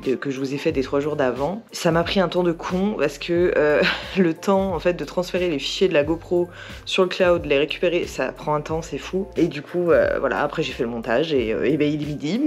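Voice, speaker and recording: Hoarse young woman chatting to camera. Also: sits low, brisk, soft background music. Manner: chatty, many fillers, laughs between phrases. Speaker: vlogger. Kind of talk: casual daily vlog chat to camera. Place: at home.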